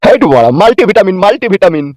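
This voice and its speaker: A man's voice: high voice, sing-song, racing, a little mellow, dry recording. A man voicing a cheeky, brazen village husband in a comedy cartoon, teasing and crude. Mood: teasing, playful.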